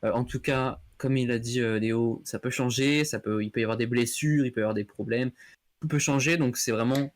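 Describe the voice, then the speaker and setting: A man's voice: mid-range voice, fairly even intonation, fairly quick. A man co-hosting a casual sports chat, agreeable, with frequent fillers. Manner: agreeable, backchannelling, hesitant fillers. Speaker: podcast co-host.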